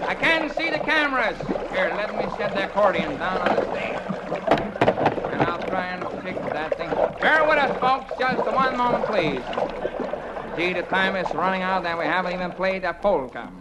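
High-voiced, flustered bandleader. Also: male voice, animated, moderate pace. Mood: panicked. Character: flustered, folksy male bandleader hosting a champagne-music show. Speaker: bandleader and host in a parody sketch. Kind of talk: old-time comedy record parody. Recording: noisy vintage recording with loud crowd laughter.

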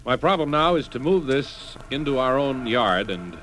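Bossy, sharp-voiced radio serial boy hero. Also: medium pitch, sing-song, moderate pace. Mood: bossy, impatient. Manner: clipped, curt, officious. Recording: noisy old radio recording.

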